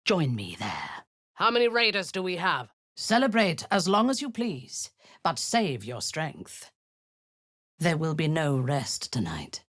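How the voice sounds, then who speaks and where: A woman's voice: low-pitched, huge pitch swings, unhurried, fairly bright tone, no room sound, studio quality, exaggerated voice. A woman voicing a cruel, zealous drow warlord in a video game, giving cold commands that often sink into a menacing whisper. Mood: scornful.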